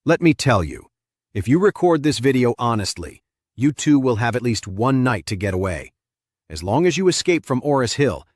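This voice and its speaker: A man's voice: mid-range voice, big pitch swings, moderate pace, darkish tone, no echo, studio quality. Casting: stern, taunting man in command for audio fiction, read by a male narrator, mid-range, menacing and cold. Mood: cold menace.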